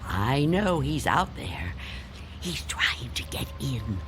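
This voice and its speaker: An actress playing a frightened, reclusive old woman in a radio drama, speaking in a halting, insistent, theatrical way. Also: rumbling low, very animated, noisy background, heavily acted. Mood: fearful, insistent. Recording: loud music under the voice.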